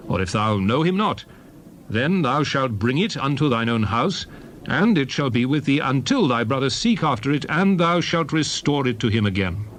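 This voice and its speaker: A man reads old scripture aloud in a solemn, dramatized style. His mid-pitched voice rises and falls widely through measured, declarative phrases. Faint background ambience sits behind the reading. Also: fairly bright tone, slight background noise. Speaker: Bible reader.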